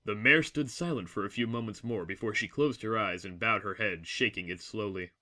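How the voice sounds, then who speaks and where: Man, story narrator, slightly low pitch, bright forceful tone, measured, solemn, literary scripted delivery.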